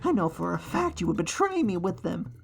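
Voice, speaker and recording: A woman voicing a wry, scheming villain inventor in an animated fan dub, dry and smug. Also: on the low side, fairly quick, gravelly, faint music behind.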